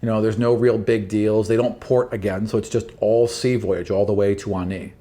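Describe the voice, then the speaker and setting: A man with a fairly low voice acts as dungeon master. He narrates a tabletop roleplaying story in a casual, conversational way. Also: fairly even intonation, normal speed, mellow.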